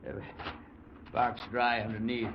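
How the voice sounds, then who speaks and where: An actor in an old-time radio drama playing an exhausted, stubborn, dutiful police sergeant, speaking in halting, broken phrases. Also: male voice, mid-range voice, level tone, natural voice. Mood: tense, careful. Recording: dull, noisy old radio recording.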